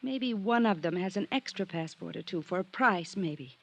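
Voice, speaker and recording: A gravelly-edged, weary stranded drifter. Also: female voice, on the low side, lively intonation, moderate pace. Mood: bargaining, guarded. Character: sultry, world-weary female drifter stranded abroad, pleading. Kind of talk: 1950s radio drama acting. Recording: old radio drama recording.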